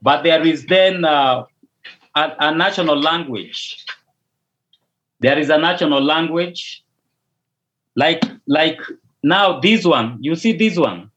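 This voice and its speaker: A man with a Kenyan accent, a pastor, speaking slowly and carefully on a video call, with hesitations and restarts. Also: on the high side, expressive, fairly bright tone, muffled recording. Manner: slow, measured, with hesitations and restarts. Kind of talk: casual video call interview.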